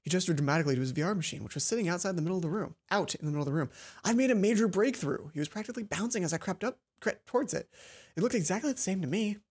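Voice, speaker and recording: A woman's voice: lowish voice, lively intonation, racing, darkish tone, husky. A woman hosting a let's play, reading visual novel narration aloud and dropping in casual asides.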